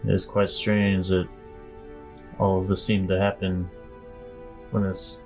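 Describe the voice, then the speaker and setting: Low-voiced man roleplaying, soft music behind. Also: completely flat delivery, slow. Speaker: player in a tabletop roleplaying game. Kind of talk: improvised in-character roleplay.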